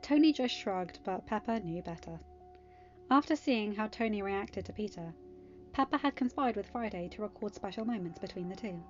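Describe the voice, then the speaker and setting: A woman's voice: mid-range voice, dull audio. A woman reading scripted story narration as an audiobook narrator. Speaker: podfic narrator. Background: music.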